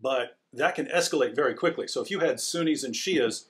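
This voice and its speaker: A man of ordinary pitch hosts an interview, working through a long question. He talks hesitantly, with frequent fillers and restarts as he builds his point. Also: brisk. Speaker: interviewer, channel host.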